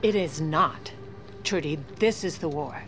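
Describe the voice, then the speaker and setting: An actress playing a shrewd, impatient first lady in a comedic audio drama, speaking in a brisk, commanding, dry way. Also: sits low, over music. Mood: resolute.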